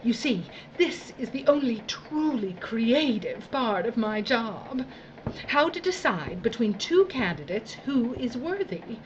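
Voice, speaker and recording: A woman's voice: fairly high pitch, very animated, slow pace, dull audio, slight background noise. An actress playing a domineering, sadistic female boss in an audio drama, with a condescending, mock-sweet, imperious drawl. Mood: gleeful, scheming. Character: domineering, theatrical, sadistic female boss of dystopian work crews. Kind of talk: theatrical audio drama acting.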